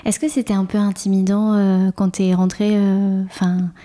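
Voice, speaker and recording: A woman hosting a podcast interview, asking relaxed questions with short murmured assents. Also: medium pitch, normal speed. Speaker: podcast host. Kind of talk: casual interview.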